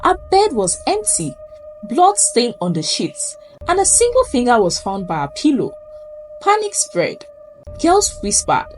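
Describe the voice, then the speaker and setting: A woman's voice: a little high, wildly swooping pitch, a little slow, low-quality recording. A Nigerian woman narrating a spooky folktale in a suspenseful, theatrical way. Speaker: storyteller. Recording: music under the voice. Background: background music.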